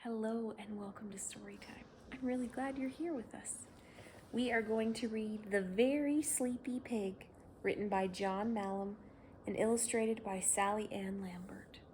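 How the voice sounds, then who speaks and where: A woman reads a children's bedtime story slowly, in a fairly low voice for a woman. Her phrasing is gentle and expressive, made for young listeners. There is clear background noise on the recording. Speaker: children's story reader and host.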